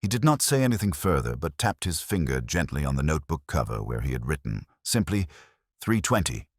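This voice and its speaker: A man narrating a scripted mystery story in a measured, dryly wry manner. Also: a bass voice, big pitch swings, dry recording, clean studio sound.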